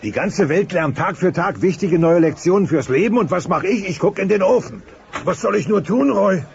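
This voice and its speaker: A man's voice: high-pitched, expressive, normal speed, creaky, a little mellow. An actor playing a grumpy, scheming dinosaur father with a whiny, exaggerated delivery in a family sitcom audio play. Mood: self-pitying. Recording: dull, noisy old cassette transfer.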